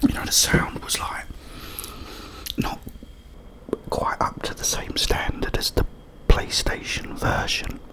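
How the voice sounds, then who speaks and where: A man whispering a rambling, halting ASMR monologue about video games, with a breathy unvoiced whisper and frequent pauses. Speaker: ASMR creator.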